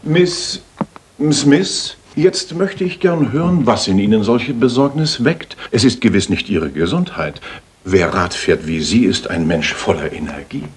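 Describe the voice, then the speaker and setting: An actor playing a brilliant, self-assured detective in a German radio play, with a pompous, theatrical, analytical manner. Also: male voice, lowish voice, expressive, measured pace, husky, heavily acted. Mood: probing, assured. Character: brilliant, observant male master detective, brisk and self-assured.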